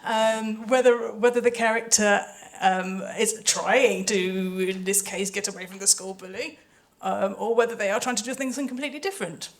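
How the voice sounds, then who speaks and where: A woman talks as a panelist at a live event, holding a microphone. Her delivery is thoughtful and explanatory, feeling out each point with some hesitation, at an ordinary middle pitch. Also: fairly even intonation, normal speed, hardly any pauses. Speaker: audio drama composer and panelist. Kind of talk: panel talk explaining creative work. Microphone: handheld microphone passed between panelists.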